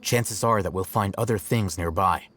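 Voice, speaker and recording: An actor playing a composed, analytical scholar in a video game, measured and matter-of-fact. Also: male voice, fairly low pitch, fairly bright tone, slight background noise, natural voice. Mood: calm, practical. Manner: measured, explanatory. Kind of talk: video game cutscene acting.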